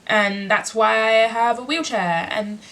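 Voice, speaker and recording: A woman's voice: medium pitch, a little slow, fairly bright tone. Young woman talking straight to camera, bit blocked up and sniffly, says "like" loads, thoughtful and open. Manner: reflective, heavy use of "like" fillers. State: congested, sniffly. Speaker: musician and performer. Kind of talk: candid personal vlog.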